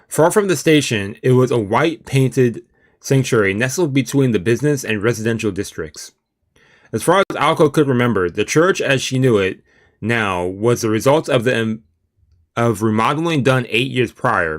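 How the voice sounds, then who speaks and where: A man's voice: medium pitch, lively intonation, normal speed. A man reading a visual novel aloud as a let's play, with casual asides and stumbles over long words. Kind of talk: let's play commentary and read-aloud narration. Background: video game music.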